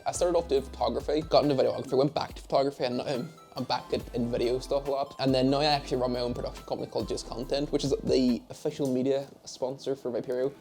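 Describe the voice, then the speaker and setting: Young Northern Irish man, gravelly and mellow. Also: mid-range voice, fairly even intonation, fast talker, few pauses, faint music behind. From Derry, Northern Ireland. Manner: chatty, self-mocking, rambling asides. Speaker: esports head of media. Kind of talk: casual Q and A to camera. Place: home office setup.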